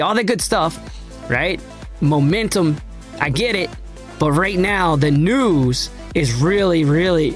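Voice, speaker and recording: A man hosting a casual crypto trading livestream, slangy and hyped, his voice raspy and hoarse from a cold. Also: fairly high pitch. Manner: slangy, hyped, addresses chat directly. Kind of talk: casual livestream market talk.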